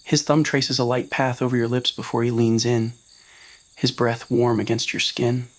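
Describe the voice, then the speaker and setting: A male narrator with a mid-pitched voice reads a scripted romance. The delivery is that of an ASMR roleplay story, told to the listener. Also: level tone, normal speed. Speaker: narrator of fan fiction audio roleplay.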